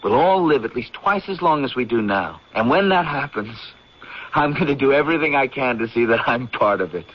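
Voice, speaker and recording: A male actor plays a young academic in a seventies radio play, teasing and idealistic. His voice sits at a middle pitch. The recording is dull and muffled, like an old broadcast. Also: expressive, a little fast, background noise, lightly acted. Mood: earnest, idealistic. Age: young adult. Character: good-humored young male anthropology professor, teasing, idealistic. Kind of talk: 1970s radio drama acting.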